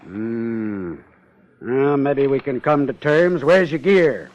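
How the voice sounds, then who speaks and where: An actor playing a gruff, suspicious whaling captain in a 1950s radio drama, with salty, challenging talk. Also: male voice, medium pitch, takes it slowly, darkish tone, a little hiss or hum, close to an everyday voice. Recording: muffled 1950 radio broadcast.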